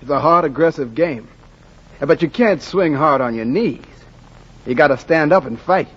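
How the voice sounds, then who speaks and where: An actor playing a proud, plain-spoken young baseball player, earnest and direct, in a 1940s radio drama. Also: male voice, a little high, animated, normal speed, slightly rough. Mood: firm, earnest. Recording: dull 1940s radio recording.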